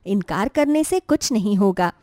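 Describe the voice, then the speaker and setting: A woman voicing a patient, forgiving young elder sister who speaks politely and calmly in a story. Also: medium pitch, moderate pace, dry recording, studio quality, pitched below the performer's own voice, close to an everyday voice.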